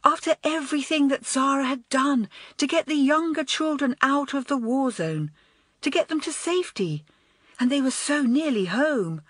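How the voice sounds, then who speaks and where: Woman voicing an anxious schoolgirl, high, earnest, storybook. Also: normal speed, fairly bright tone, no room sound, clean studio sound. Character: anxious, chatty schoolgirl friend.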